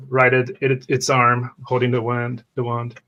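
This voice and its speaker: A man's voice: mid-range voice, completely flat delivery, a little slow, lo-fi sound. A man playing a character in a tabletop roleplaying game, describing his actions in first person. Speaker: player.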